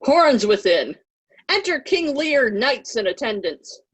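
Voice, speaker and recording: A woman's voice: on the high side, big pitch swings, slow pace, fairly bright tone, slightly rough. A woman reading out scripted stage directions for a readers' theatre play.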